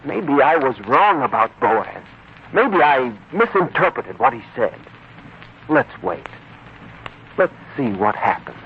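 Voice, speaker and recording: A slightly dull, faintly hissy 1940s radio drama recording carries an anxious young bridegroom. His mid-pitched voice rises and falls expressively, earnest, deferential and hesitant, with a pleading edge. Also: very animated, takes it slowly. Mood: tender, reassuring. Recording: muffled, faintly noisy 1940s radio broadcast.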